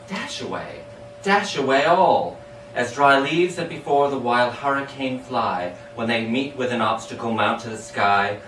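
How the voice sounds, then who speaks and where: A man giving an expressive, dramatic recital of storytelling verse in a sing-song rhyming rhythm. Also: medium pitch. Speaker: amateur poet reading their own poem. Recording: echoey room, distant mic, background hiss.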